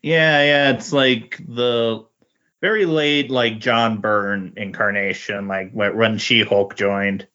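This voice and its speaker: Podcast guest guy, agreeable, slow talker, bright sharp voice, bit lo-fi. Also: fairly low pitch, fairly even intonation. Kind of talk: casual chat.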